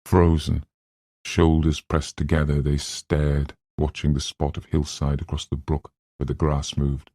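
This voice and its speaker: A man's voice: extremely low pitch, dark tone, no room sound, clean studio sound. A man narrating literary fiction as a scripted audiobook reading.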